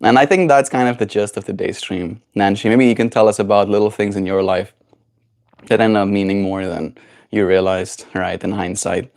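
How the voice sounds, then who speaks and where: A man with a South African accent and a voice a little lower than most men's hosts a relaxed livestream. He thinks aloud in a meandering, reflective way and keeps tossing in "right?" as he goes. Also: moderate pace, creaky. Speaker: livestream host. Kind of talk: casual livestream chat.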